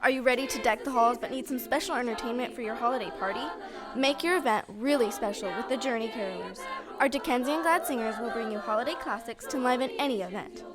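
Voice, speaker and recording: A woman's voice: on the high side, fast talker, loud music behind the voice. A woman reading a scripted promotional spot as an announcer. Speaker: advert announcer.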